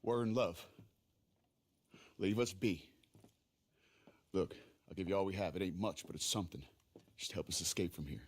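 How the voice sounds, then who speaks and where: An actor playing an earnest, pleading man on the run with his lover in a game cutscene, with a husky voice. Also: sits low, normal speed, long pauses. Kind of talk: video game cutscene acting.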